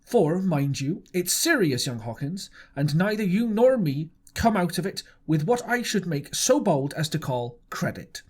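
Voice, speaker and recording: Man playing a hearty one-legged old ship's cook, loud and coaxing, bouncy lively delivery. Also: a little high, measured pace, few pauses. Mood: jovial. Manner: boisterous, wheedling, old sailor slang.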